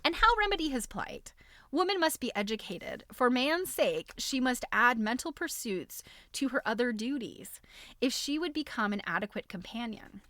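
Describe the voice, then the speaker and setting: A woman hosting a live stream, reading a book aloud in an expressive, amused way with chatty, casual asides. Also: fairly high pitch, normal speed, no room sound.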